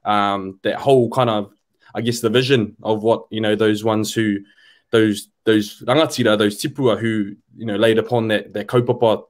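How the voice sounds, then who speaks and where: A man's voice: on the low side, fairly even intonation, normal speed. A young New Zealand man, a panel guest on a video call, talking earnestly and rambling a bit. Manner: earnest, rambling, many restarts. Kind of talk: casual discussion, mixing Māori and English. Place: home room, video call.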